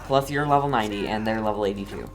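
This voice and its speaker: A streamer giving casual gameplay commentary in a hesitant, low-key way, talking back to the game's characters. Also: fast talker, faint music behind. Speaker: game streamer.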